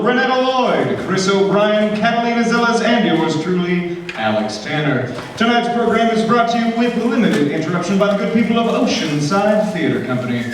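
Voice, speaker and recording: A man announcing a scripted radio show from a theatre stage in a grand, formal, showmanlike way. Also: high-pitched. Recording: echoey hall, distant mic, faint noise.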